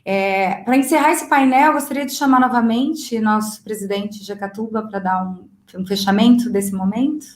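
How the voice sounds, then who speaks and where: A woman moderates a panel in a formal style. Her voice sits at an average pitch. The sound is noticeably roomy and echoing. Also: moderate pace, few pauses.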